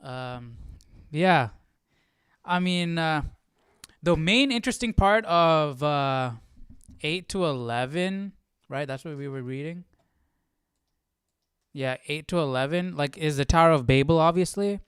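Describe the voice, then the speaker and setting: A man's voice: fairly high pitch, measured pace, pauses often, a bit bright, smooth, dry recording, clean studio sound. A man hosting a livestream, chatting casually and thinking aloud in a rambling way. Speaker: livestream host of a Bible study series.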